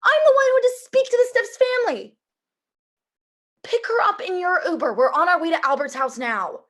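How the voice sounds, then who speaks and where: A woman's voice: very high voice, lively intonation, a little fast, fairly bright tone. A woman playing a brash, weary Hollywood executive assistant in a comedic table read over a video call. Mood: exasperated, scolding. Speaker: actor in a screenplay table read. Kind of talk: comedic script acting.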